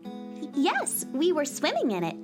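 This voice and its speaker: A curious little girl in a children's radio play, very high and bouncing all over in pitch. She sounds eager and wide-eyed, with guitar music playing underneath. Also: wildly swooping pitch, a little slow, exaggerated voice. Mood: timid.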